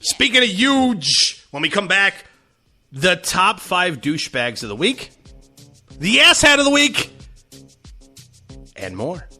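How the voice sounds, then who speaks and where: A man's voice: sits high, slow, pauses often, faint music behind. A man hosting a talk show, playfully setting up bits for his co-host. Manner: lively, playful. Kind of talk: radio-style talk show.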